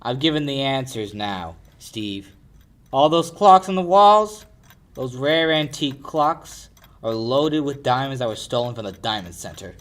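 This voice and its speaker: A young actor playing a sardonic, pushy lawyer in a 1940s-style radio detective play. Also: male voice, mid-range voice, expressive, measured pace, a bit bright. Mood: cool, accusing. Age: young adult. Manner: wisecracking, hard-boiled. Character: sardonic, pushy male lawyer turned investigator. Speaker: high school actor.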